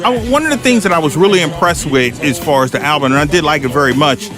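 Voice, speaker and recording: A man hosting a casual interview, asking measured, encouraging questions and complimenting his guest. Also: fairly high pitch, lively intonation, music in the background. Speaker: interview host.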